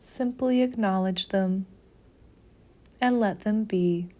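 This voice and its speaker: Female meditation guide: soothing, mellow tone, very slow measured delivery with long pauses. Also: mid-range voice.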